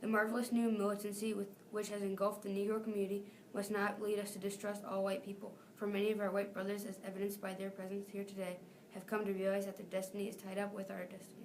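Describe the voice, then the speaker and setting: Quick, even-toned teenage girl reading aloud. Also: medium pitch, low-quality recording. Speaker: student reader. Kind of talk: reading a famous speech aloud. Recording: faint background noise.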